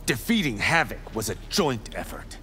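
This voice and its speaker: A man's voice: lowish voice, sing-song, moderate pace. A man voicing a confident, boastful young monk warrior in a video game. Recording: music playing behind.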